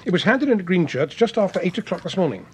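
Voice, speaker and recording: An actor playing a brisk, puzzled senior British police official in a radio drama. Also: male voice, sits high, very animated, a little hiss or hum.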